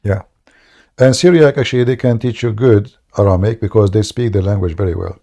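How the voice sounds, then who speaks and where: Gravelly low-voiced man lecturing earnestly. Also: a little fast, dark tone. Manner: earnest, lecturing, speaks English as a second language. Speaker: host. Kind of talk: casual chat answering a caller.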